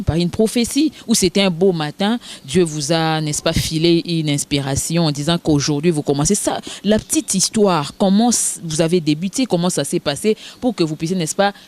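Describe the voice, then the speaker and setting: A woman's voice: lowish voice, darkish tone. A woman interviewing a pastor, speaking with many fillers and restarts. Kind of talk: press interview.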